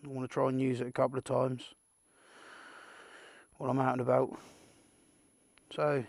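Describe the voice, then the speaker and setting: A man's voice: medium pitch, flat delivery, normal speed, creaky, darkish tone, no echo. A British man giving a casual, unscripted product unboxing, rambling and muttering asides to himself with the odd mild swear. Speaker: amateur video creator presenting an unboxing.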